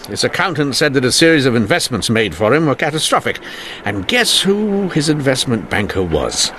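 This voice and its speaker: A man's voice: medium pitch, sing-song, normal speed, fairly bright tone, slight background noise. An actor playing a shrewd, dogged police inspector in a period radio drama, formal and sardonic in his speech. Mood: animated, wry. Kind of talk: old-time radio drama acting.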